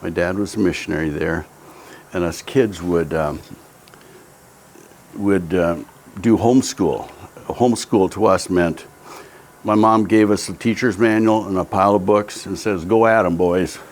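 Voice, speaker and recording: A man's voice: sits low, steady tone, unhurried, pauses often. A man in his seventies who grew up in Japan tells his life story in a reflective, hesitant way, with many ums and restarts.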